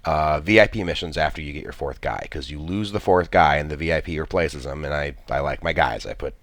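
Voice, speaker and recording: A man's voice: a bass voice, fairly quick, a bit bright, no room sound. A man with a stuffy cold, commenting on a strategy game he plays, thinking aloud with many restarts. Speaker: gameplay commentator.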